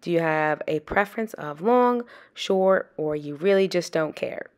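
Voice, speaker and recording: A woman in her thirties with a slightly low voice rambles casually to her viewers as she dusts a nightstand. She keeps asking them questions in a loose, chatty way, heard through a camera set across a small bedroom. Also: lively intonation, unhurried. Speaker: cleaning vlogger. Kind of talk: casual chat to camera while cleaning. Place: small home bedroom, indoors. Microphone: camera on a stand across the room.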